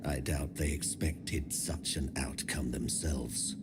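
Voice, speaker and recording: An actor playing a weary, haunted lone survivor in a dark fantasy game, brooding and theatrical, with hushed, breathy phrase endings. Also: male voice, rumbling low, steady tone, takes it slowly, very noisy. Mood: weary, bitter.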